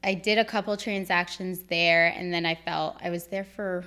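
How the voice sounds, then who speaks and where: Lower-pitched woman telling her story. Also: normal speed. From Egypt. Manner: relaxed storytelling with frequent "um". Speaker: real estate broker, podcast guest. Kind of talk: casual interview.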